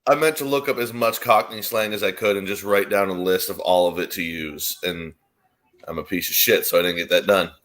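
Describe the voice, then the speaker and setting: A young man with a fairly low voice co-hosts a laid-back sports watchalong. He banters loosely, swearing freely and throwing in jokey bits. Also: fairly even intonation, fairly quick, a bit bright. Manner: sweary, joking, mock British slang. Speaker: podcast co-host.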